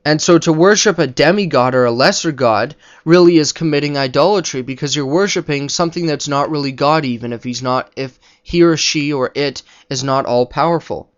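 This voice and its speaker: A man with a fairly high voice delivers off-the-cuff religious commentary. He lectures and argues his points, recorded with muffled, lo-fi sound. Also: fairly quick, hardly any pauses, smooth. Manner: didactic, argumentative. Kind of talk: unscripted spoken commentary on religion.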